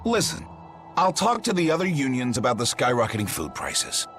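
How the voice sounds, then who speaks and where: An actor playing an excitable, hard-pressed market merchant in a video game. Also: male voice, medium pitch, moderate pace. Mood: resolute. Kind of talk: English video game voice acting. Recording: light music behind the voice.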